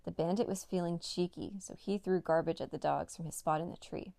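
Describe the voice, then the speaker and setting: A woman's voice: on the low side, steady tone, fairly quick, gravelly, dark tone, dry recording. A woman narrating a children's read-along story as a storyteller.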